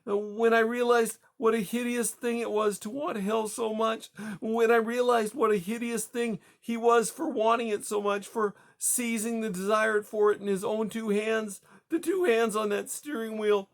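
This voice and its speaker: A man's voice: sits high, fairly even intonation, normal speed, hardly any pauses, voice pushed up. Man doing a sobbing distraught woman. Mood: anguished. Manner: breathless, stammering, rising to hysteria. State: crying. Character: grieving distraught woman, tearful and pleading.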